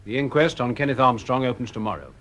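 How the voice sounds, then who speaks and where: An actor playing a formal, authoritative police superintendent in an audio drama, speaking deliberately. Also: male voice, medium pitch, fairly bright tone, natural voice. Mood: official, composed.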